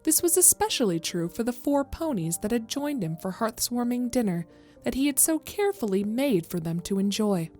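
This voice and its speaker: A woman's voice: on the high side, very animated, moderate pace, mellow, soft background music. A woman narrating a scripted horror story as its storyteller.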